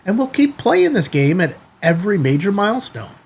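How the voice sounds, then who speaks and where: A man with a somewhat high-pitched voice hosts a casual product review video. He talks conversationally and with emphasis, in short bursts. A light layer of noise sits behind his voice. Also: big pitch swings, moderate pace, gravelly, darkish tone. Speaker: YouTube channel host.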